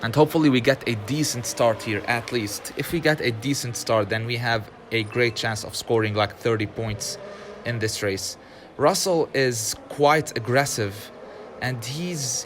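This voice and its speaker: A man whose first language is Arabic gives casual commentary while playing a racing game, chatty and often frustrated, laughing and filling pauses with "um". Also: mid-range voice, a bit bright, slight background noise. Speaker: gaming channel host.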